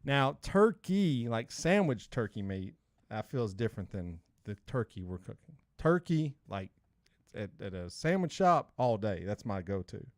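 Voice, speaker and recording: A man co-hosting a podcast, chatting casually and teasing his co-host with animated outbursts. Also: on the low side, unhurried, no echo, studio quality. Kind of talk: casual chat.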